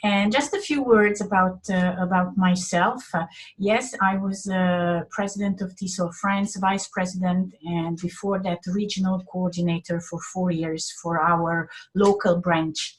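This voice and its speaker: Woman, low voice, measured. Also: steady tone, poor audio. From Hungary. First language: Hungarian. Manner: measured, pausing often to find words. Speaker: guest, English teacher and teacher trainer. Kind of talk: webinar interview self-introduction. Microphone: video call.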